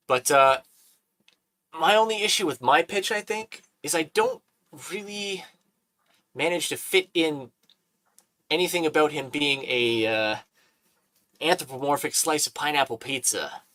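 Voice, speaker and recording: A man hosts a loose, casual chat with a bright, crisp voice. He talks in animated bursts, laughs often and repeats his words as he goes. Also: fairly high pitch, a little slow, pauses often. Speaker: host.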